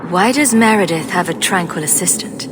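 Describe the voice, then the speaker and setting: An actress playing a wry, defiant heroine in a video game, with a dry, sardonic delivery. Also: female voice, fairly low pitch, expressive, normal speed, noisy background, close to an everyday voice. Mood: challenging. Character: wry, defiant female adventurer hero.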